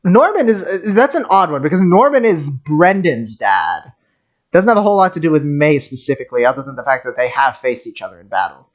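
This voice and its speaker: A streamer of middling pitch talks through game content off the cuff. The delivery is wry and geeky, wandering as thoughts arrive. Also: sing-song, a little fast. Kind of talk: casual stream commentary on game voice lines.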